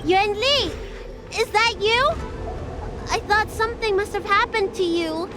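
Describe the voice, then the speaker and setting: A woman voicing a kind, very young-sounding noble lady in a video game dub, tender and unhurried. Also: extremely high pitch, noisy background. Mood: anxious, relieved. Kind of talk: dramatic English game dub dialogue.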